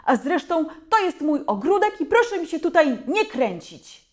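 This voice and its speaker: Brief: a loud, high-pitched protective mom with a sharp scolding tone, as a woman reader puts her on. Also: sing-song, moderate pace. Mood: furious scolding. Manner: shouting loudly, scolding. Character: fierce protective mother, middle-aged woman.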